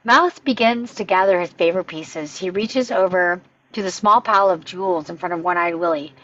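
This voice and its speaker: A woman with a slightly husky voice reading scripted stage directions as narration at a table read, in dull sound. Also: on the low side, moderate pace, a bit bright.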